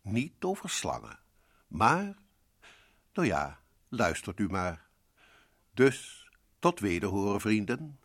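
Radio horror host: macabre gentleman storyteller, very low, swooping intonation, sardonic and mock-cosy. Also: huge pitch swings, takes it slowly, pauses often, breathy edge, dry recording, clean studio sound, full character voice. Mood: sly, mock-cosy. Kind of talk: theatrical radio horror hosting.

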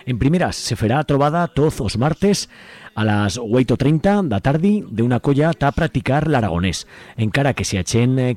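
A man's voice: mid-range voice, darkish tone. A man presenting informal announcements on the radio.

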